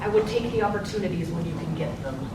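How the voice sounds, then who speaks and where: A woman's voice: lowish voice, lively intonation, fast, close to an everyday voice. A woman playing a brusque, dismissive male newspaper boss of the suffrage era in a staged reading, curt and businesslike. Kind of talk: live staged play reading. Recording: echoey hall from far off, noisy ambience.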